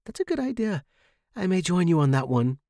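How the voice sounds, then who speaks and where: A man voicing a chatty, nervous young woman in a story, with an excitable, squeaky manner. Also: a little fast, no echo, clean studio sound. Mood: amused.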